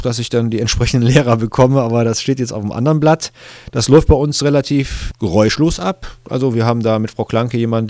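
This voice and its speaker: A middle-aged man who runs a school speaks plainly in an interview. His pitch is average and his tone is matter-of-fact. The sound is dry and close. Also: darkish tone, no room sound. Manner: matter-of-fact, with fillers and restarts. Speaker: school head. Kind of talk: interview statement.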